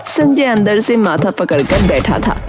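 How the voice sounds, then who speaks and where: A woman's voice: medium pitch, big pitch swings, measured pace, music in the background. A woman narrating a scripted comic story as its storyteller.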